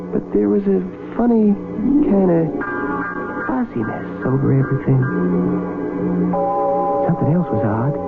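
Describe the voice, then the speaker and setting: High-voiced young man, rustic runaway soldier, anxious and defiant, folksy grammar, radio drama, dull vintage recording. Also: gravelly. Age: young adult. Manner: folksy ungrammatical country speech. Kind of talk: 1970s radio drama acting.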